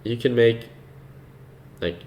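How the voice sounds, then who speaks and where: A man hosting a live trading stream, chatting casually with viewers in a relaxed, unhurried way, with a soothing voice and plenty of fillers. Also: medium pitch, dull audio. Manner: relaxed and unhurried, laughs easily, many fillers. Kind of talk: casual live-stream commentary, reading chat.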